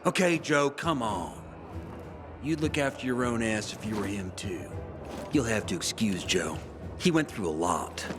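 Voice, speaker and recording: A man acting a wisecracking, fast-talking scoundrel of a prisoner in a video game cutscene, joking and wheedling like a born storyteller. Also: lowish voice, lively intonation. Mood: sardonic. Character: wisecracking male prisoner, fast-talking scoundrel who jokes through misery. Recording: music behind the voice.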